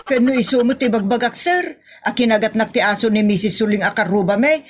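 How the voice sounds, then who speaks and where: A woman's voice: fairly high pitch, slightly rough. A woman voicing an aggrieved, chatty older village wife with an Ilocano accent, rambling and plaintive in a comedy audio drama. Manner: rambling, plaintive, drawn-out complaining.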